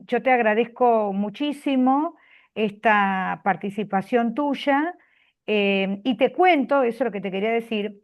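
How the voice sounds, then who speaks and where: A woman's voice: medium pitch, lo-fi sound. An Argentine woman hosting a video call, talking chattily and dropping frequent "eh" fillers, speaking from a public office. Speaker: host. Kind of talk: video call closing remarks.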